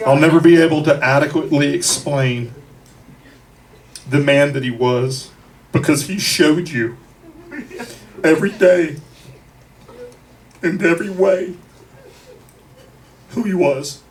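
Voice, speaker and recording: Slow, deliberate man eulogizing, from far off. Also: fairly high pitch. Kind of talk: eulogy at a memorial gathering. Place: bar venue, captured from the audience. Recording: distant mic in a noisy bar.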